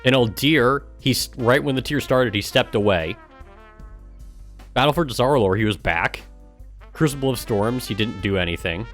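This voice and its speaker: A man's voice: mid-range voice, normal speed, a bit bright, soft background music. A man streaming casually, reading aloud and adding sarcastic, exasperated commentary, with swearing. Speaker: streamer and gaming guild member.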